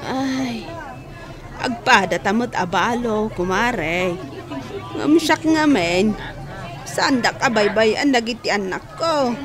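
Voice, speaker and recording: A woman's voice: fairly high pitch, animated, takes it slowly, a crowd in the background. An actress playing a concerned, gossipy older widowed village woman in a radio drama. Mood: sympathetic. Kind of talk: Ilocano radio drama acting.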